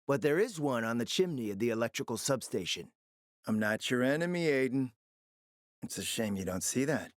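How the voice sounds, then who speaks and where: A man's voice: mid-range voice, no echo, studio quality, natural voice. A man playing a cunning spymaster in a video game, speaking in a theatrical, urgent and sardonic way. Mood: reproachful pleading. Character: cunning, theatrical male spymaster scheming over a radio. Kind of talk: dramatic video game voice acting.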